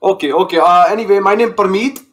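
A young man on a video call through a gaming headset, joking and boasting in a loud, cocky way. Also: high-pitched, quick pace. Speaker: online date contestant. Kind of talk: casual video call banter.

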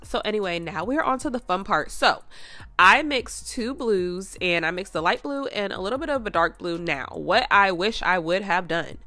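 A hoarse, stuffed-up woman rambling chattily through a casual nail art tutorial at home. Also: mid-range voice, expressive, normal speed, sharp tone, dry recording, faint music behind. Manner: chatty and rambling, full of "like" and "you guys".

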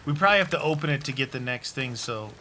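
A man's voice: medium pitch, lively intonation, quick pace, noisy background. A man chatting casually and playfully with a co-player during a video game. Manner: joking, playful. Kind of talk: casual gameplay banter with a co-player. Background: video game ambience.

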